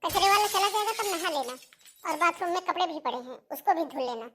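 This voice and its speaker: A woman voicing a bossy, taunting older mother-in-law in a cartoon family drama, with a sharp, nagging manner. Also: extremely high pitch, fast talker. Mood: scolding.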